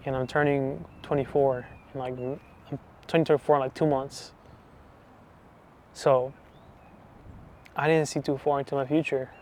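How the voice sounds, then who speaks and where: A man in his twenties giving a personal faith testimony, talking softly and hesitantly with many restarts. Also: medium pitch, flat delivery, measured pace, long pauses. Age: 20s. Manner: soft, hesitant, full of restarts and fillers. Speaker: channel host giving testimony.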